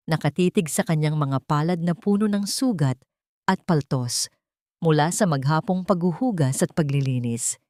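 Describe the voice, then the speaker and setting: A woman narrating a dramatic scripted story in a measured, solemn, melodramatic way. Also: sits low, darkish tone, no room sound, clean studio sound.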